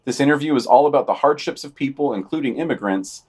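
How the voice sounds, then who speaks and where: A man's voice: mid-range voice, lively intonation, quick pace, a little mellow, slightly rough. Man reading news with dry asides. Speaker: podcast host reading weekly news.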